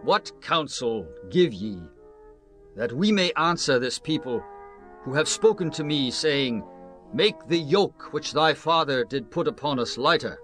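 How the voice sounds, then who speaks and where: Bright, forceful young king. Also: on the high side, lively intonation, measured pace. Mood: measured. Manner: formal, archaic declaiming. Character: proud young king, stern and demanding. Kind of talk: dramatized scripture acting. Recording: music bed behind the voice.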